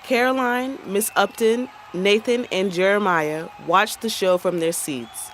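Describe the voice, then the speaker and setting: A woman's voice: mid-range voice, a little slow, fairly bright tone, a little hiss or hum, lightly acted. A woman as a plain, neutral narrator reading scripted stage directions for a radio play.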